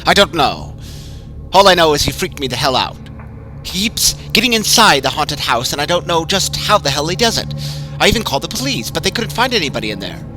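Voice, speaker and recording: A man's voice: fairly high pitch, big pitch swings, a little fast, music in the background, pitched above the performer's own voice, poor audio. A man voicing a frightened middle-aged haunted house owner who talks nervously and insistently. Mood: worried.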